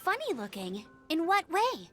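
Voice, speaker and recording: A woman playing an eager, determined young female scout in an anime game, brisk and confident. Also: very high-pitched, wildly swooping pitch, fairly bright tone, soft background music, full character voice.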